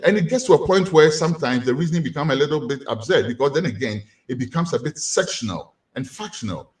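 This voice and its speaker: Animated Ghanaian man lecturing. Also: on the high side, quick pace. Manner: animated, rhetorical questions to the audience. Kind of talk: community lecture.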